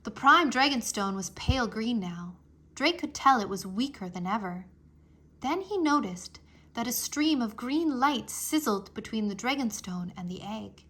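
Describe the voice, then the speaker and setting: A woman narrating a children's adventure book aloud with expressive, dramatic phrasing. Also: on the high side, unhurried. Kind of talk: children's storybook read-aloud.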